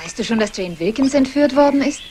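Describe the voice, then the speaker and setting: A woman's voice: high-pitched, lively intonation, fast, background noise. An actress playing an anxious, well-to-do woman in a 1960s German crime radio play. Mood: urgent.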